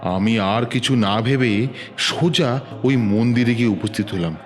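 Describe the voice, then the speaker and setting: A man's voice: mid-range voice, measured pace, faint music behind. A man narrating a horror story in the first person, in a tense, urgent way.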